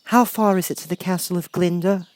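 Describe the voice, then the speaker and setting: Man voicing a high-pitched, earnest little girl. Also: steady tone, a little fast, darkish tone, no echo, close to an everyday voice. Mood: polite, earnest. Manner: polite, earnest. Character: polite, earnest young girl in a fairy tale.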